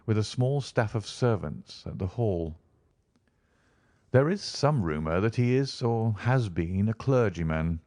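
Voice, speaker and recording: A man plays a confident gentleman detective in a storybook reading. His voice sits fairly low, with articulate, measured and wry delivery. The recording is dry and close. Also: dry recording, close to an everyday voice. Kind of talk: audiobook character reading.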